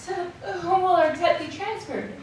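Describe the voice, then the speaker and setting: An actress playing an anxious, fretful indebted young wife in a live radio drama. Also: female voice, extremely high pitch, normal speed, a little mellow. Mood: anxious hope. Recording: echoey distant live stage, noisy.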